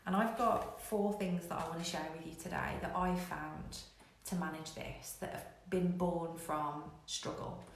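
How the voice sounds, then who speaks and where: Echoey, lower-pitched woman coaching online. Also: steady tone, unhurried, gravelly. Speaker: business coach hosting a live online show. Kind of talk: live coaching talk to online viewers. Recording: very echoey room, faint noise.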